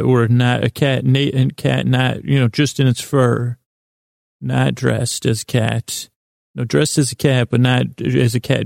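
A man with a gravelly, mellow voice delivers a meandering comic bedtime monologue as a podcast host. His pitch stays fairly flat while he stammers, halts and drifts into tangents. The sound is close and dry. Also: no room sound. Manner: meandering, halting, stammering, trails off into digressions. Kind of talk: rambling improvised bedtime comedy monologue.

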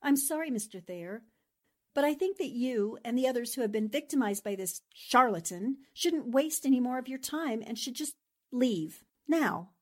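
A cool, self-assured newspaperwoman from an old-style radio drama, played by a woman. Her voice sits a little high. She is composed and articulate, with dry confidence. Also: expressive, normal speed, a little mellow. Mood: sympathetic, firm. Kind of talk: 1940s radio drama acting.

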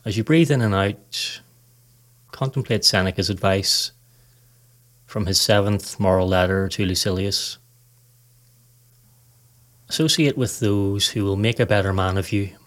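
A man narrating a scripted guided meditation in a calm, measured, instructional way. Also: lowish voice. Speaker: podcast host, meditation guide.

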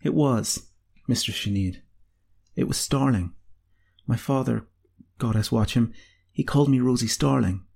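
A man voicing a cheeky, excitable nineteen-year-old female apprentice with a pert, eager, girlish manner. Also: medium pitch, unhurried, vocal fry, pauses often. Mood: polite, fond. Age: young adult.

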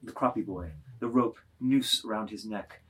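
A man's voice: lowish voice, a little slow, breathy edge, poor audio. A man giving scripted dramatic narration, reading stage directions aloud in a table reading.

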